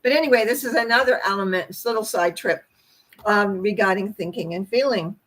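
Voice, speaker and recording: Hoarse, croaky older woman. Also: mid-range voice, a little fast, fairly bright tone, rough recording. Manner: rambling, folksy explaining with asides. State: hoarse after a treatment, clearing throat. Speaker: host, tarot reader and spiritual teacher. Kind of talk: informal spiritual talk to camera.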